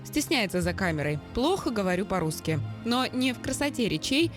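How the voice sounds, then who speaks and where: A woman reporter reading scripted news narration in a measured, solemn voice-over. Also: medium pitch, sing-song, soft background music.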